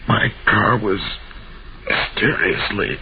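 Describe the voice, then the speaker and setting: An actor playing a dying, injured man gasping out a last confession in halting phrases in an old-time radio drama. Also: on the low side, lively intonation. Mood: gasping, desperate. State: weak, dying, short of breath. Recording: noisy, muffled old radio broadcast.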